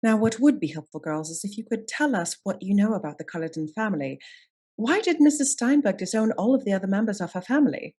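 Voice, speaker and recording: A woman portrays a female police detective in a period radio mystery. She is calm and courteous, putting questions in a measured, reassuring voice of medium pitch. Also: close to an everyday voice. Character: composed, courteous lady detective questioning witnesses. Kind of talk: radio play acting.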